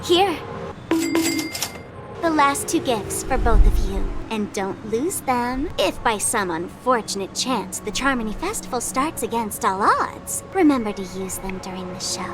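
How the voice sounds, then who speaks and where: Teasing, high-pitched mischievous trickster girl. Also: very animated, a little slow. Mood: playful menace. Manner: teasing, mock-hurt, theatrical. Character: mischievous, mocking young female trickster. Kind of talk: theatrical video game dialogue. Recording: loud music over voice.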